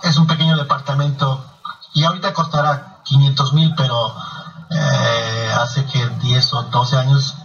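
A man's voice: mid-range voice, flat delivery, unhurried, fairly bright tone, dull audio. A man conducts a radio interview and goes through names and addresses one by one. He sounds far from the mic, with a roomy echo. Faint music runs underneath. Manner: methodical, reads out names and addresses.

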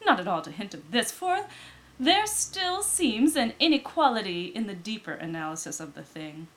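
A woman reading classic literary prose aloud as an audiobook narrator, with deliberate, dramatic phrasing. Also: mid-range voice, very animated. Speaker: audiobook reader.

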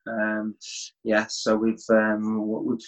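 A middle-aged man, chatting in a relaxed way as an interview guest from home over a video call. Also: on the low side, takes it slowly, lo-fi sound. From England. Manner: relaxed, easygoing with chuckles and restarts. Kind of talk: casual interview chat.

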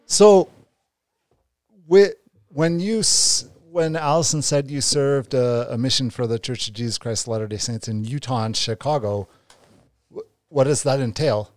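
A man's voice: medium pitch, normal speed, no room sound. A man in his forties, co-hosting a podcast and asking questions with enthusiastic restarts. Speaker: podcast co-host. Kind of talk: casual interview.

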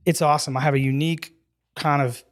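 A man in his forties from Wisconsin, a guest telling stories in a relaxed podcast chat, animated and laughing easily, with many filler phrases. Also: on the high side, fast talker. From Wisconsin, US Midwest. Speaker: guest, ministry program leader. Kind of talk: casual storytelling in a podcast chat.

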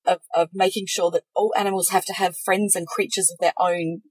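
A woman's voice: on the low side, flat delivery, fairly quick, slightly rough, no room sound. A woman with an Australian accent co-hosting a casual chat, talking in a confiding, earnest way. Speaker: co-host. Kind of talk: casual chat between co-hosts.

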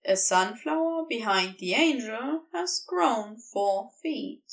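A woman narrating a scripted story with measured, deliberate phrasing. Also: on the high side, lively intonation, far from the mic. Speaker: podfic reader.

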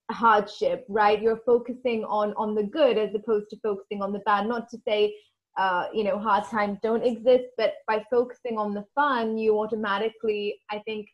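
A woman's voice: medium pitch, steady tone, normal speed. Woman host on a dull lo-fi recording. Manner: reflective, summarizing the guest's points. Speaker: interviewer, host. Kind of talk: interview questions.

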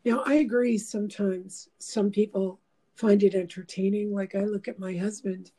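A woman's voice: medium pitch, steady tone, normal speed, warm tone, husky. A woman hosting a casual chat, talking in a meandering, reflective way with many fillers. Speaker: host.

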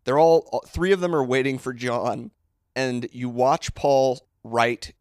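A man's voice: mid-range voice, fairly even intonation, a little slow, a little mellow, clean tone, no echo, studio quality. Man casually rambling on a podcast. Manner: rambling, self-interrupting, playful impressions. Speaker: podcast co-host. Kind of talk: casual chat.

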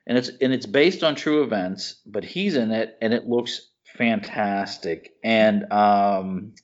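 A man's voice: on the low side, slow. Emphatic American man, dull lo-fi sound. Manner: emphatic, opinionated, blunt slangy talk. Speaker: podcast co-host. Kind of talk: casual chat about movies and TV.

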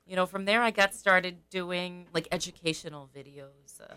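A woman's voice: lowish voice, moderate pace, pushed and bright. A woman actress from Sullivan County, New York, chatting cheerfully as a guest on a radio show. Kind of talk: casual interview chat.

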